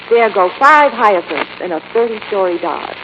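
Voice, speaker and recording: An actress playing a loyal, inquisitive companion to a sleuth, earnest and questioning, in a 1940s radio mystery. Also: female voice, medium pitch, animated, normal speed, natural voice. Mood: concerned, curious. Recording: muffled, noisy 1940s radio recording.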